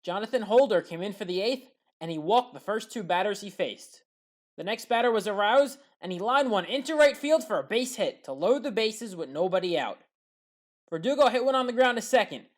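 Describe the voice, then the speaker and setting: Female sports highlights narrator, medium pitch, scripted play-by-play recap, clipped and matter-of-fact. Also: expressive, brisk. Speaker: sports highlights commentator and channel host.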